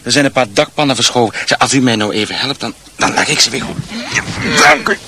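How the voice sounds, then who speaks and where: A man's voice: medium pitch, fast, noisy background, natural voice. An actor playing a chatty, fussy middle-aged husband in a radio drama, proud of his home and often stammering and repeating himself. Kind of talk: Dutch radio drama acting.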